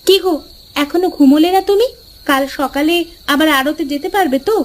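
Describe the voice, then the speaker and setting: Woman acting young wife, high voice, dutiful, earnest, cartoon drama. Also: normal speed, lightly acted. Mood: concerned. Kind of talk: Bengali cartoon dialogue.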